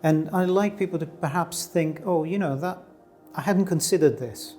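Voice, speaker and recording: A man's voice: fairly high pitch, moderate pace, a little mellow. Thoughtful middle-aged man, light music under. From Greece. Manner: thoughtful, searching, with many fillers and restarts. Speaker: artist guest. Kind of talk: reflective interview answers.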